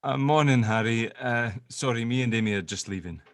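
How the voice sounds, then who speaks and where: A man's voice: medium pitch, brisk, clear, resonant tone, no room sound, studio quality, lightly acted. A man playing an affectionate, awkward long-lost father, teasing and flustered, in a drama table read.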